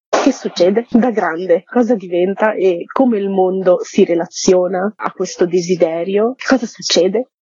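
A woman with a husky voice, a theatre-maker talking reflectively in an interview and often checking in with short tag questions. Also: lowish voice, dark tone, no room sound, highs cut off.

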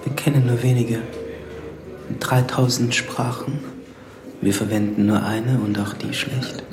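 An actor playing a bleak, coolly certain philosopher, speaking in measured aphorisms in a literary radio drama. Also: male voice, mid-range voice, steady tone, close to an everyday voice. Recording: echoey voice under loud music.

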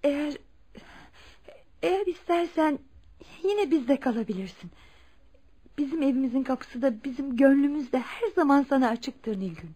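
An actress playing a compassionate, welcoming wife and elder-sister figure, gentle and consoling, in a radio drama. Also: female voice, high-pitched, expressive, normal speed, pauses often. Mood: tender, welcoming.

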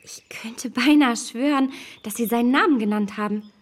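A woman playing a self-assured young gentlewoman in a radio drama, formal and emphatic, shaken but keeping control. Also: on the high side, normal speed, natural voice. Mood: controlled, insistent. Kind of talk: German radio drama acting.

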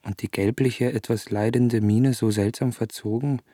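An actor voicing a musing, fascinated observer in a literary radio play, reflective, measured and intense. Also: male voice, medium pitch, steady tone, mellow, breathy edge, dry recording, clean studio sound, natural voice.

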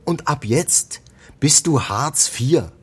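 A man's voice: a little high, expressive, slow, very noisy. An actor playing a disillusioned male colleague in a radio drama, blunt and resigned as he dampens hopes. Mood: bitter, blunt. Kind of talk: German radio drama acting.

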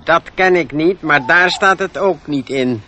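Old bookish gnome, secretive, cryptic, self-important, bright edgy voice, radio play, faint noise. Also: male voice, fairly high pitch, a little slow. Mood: musing, mysterious. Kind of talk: radio drama acting.

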